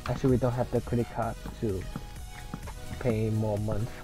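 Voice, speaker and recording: A tired young man from Hong Kong, a native Cantonese speaker with a Hong Kong English accent, rambling in halting, casual gameplay commentary. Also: lowish voice, flat delivery, music in the background. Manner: rambling, halting, with frequent restarts. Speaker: let's play gamer.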